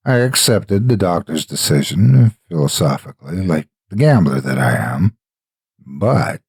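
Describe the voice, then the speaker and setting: Man, horror storyteller, raspy, dry close sound, theatrical, deliberate phrasing. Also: low-pitched, darkish tone, dry recording. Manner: deliberate, dramatic storytelling with measured pauses. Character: a wealthy, cold, calculating gambler narrating his own experiment. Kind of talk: dramatic scripted narration.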